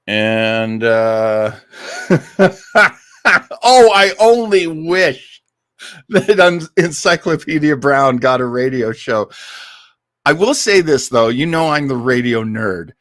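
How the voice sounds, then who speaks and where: A man's voice: medium pitch, fairly bright tone, no room sound, studio quality. A man hosting a live listening show, chatting casually and enthusiastically with his audience. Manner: enthusiastic, effusive. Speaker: host of a live radio-mystery listening show. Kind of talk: casual livestream chat with listeners.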